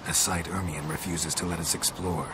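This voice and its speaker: An actor playing a terse, gruff monster hunter in a video game cutscene, speaking in short, flat sentences. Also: male voice, deep voice, normal speed, very noisy, natural voice.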